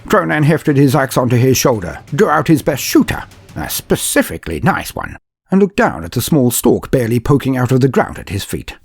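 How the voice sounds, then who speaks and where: Husky mock-epic male storyteller. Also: mid-range voice, very animated, fairly quick, dry recording, clean studio sound. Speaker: narrator. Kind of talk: comedic storytelling narration. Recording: faint music behind.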